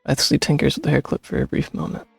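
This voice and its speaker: A man gives casual, offhand gameplay commentary as a streamer. His voice is slightly high for a man and very gravelly, a husky sound with faint game music underneath. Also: flat delivery, fast talker. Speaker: streamer playing and reacting. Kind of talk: casual gameplay commentary, reading text aloud.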